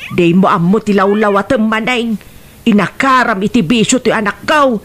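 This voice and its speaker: A woman's voice: medium pitch, normal speed, a bit bright, a little hiss or hum, natural voice. A woman playing a worried, nagging mother of a wayward son in a radio drama, with an Ilocano accent. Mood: angry, worried. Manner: nagging, reproachful.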